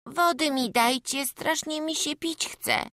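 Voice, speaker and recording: A woman's voice: high voice, slow pace, pushed and bright, dry recording, studio quality, heavily acted. A woman voicing a small, humble and wary peasant boy who speaks in rustic village dialect, in an audiobook. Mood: earnest. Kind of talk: audiobook acting.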